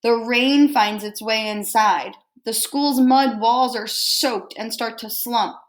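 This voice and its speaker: A woman with a fairly high voice reads a children's storybook aloud at a very unhurried pace. She is expressive and deliberate, like a teacher, and breaks off to ask her young listeners simple questions. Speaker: teacher reading a picture book to children.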